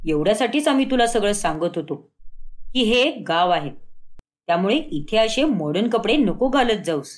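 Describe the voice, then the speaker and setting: A woman's voice: medium pitch, lively intonation, moderate pace, voice pushed down, natural voice. A woman voicing an angry, protective husband with a stern, reproachful manner in a cartoon story. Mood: scolding. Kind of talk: cartoon moral story dialogue.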